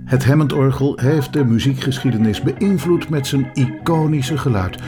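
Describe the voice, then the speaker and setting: A man's voice: medium pitch, normal speed, music in the background. A man reading scripted narration for a documentary podcast as its narrator.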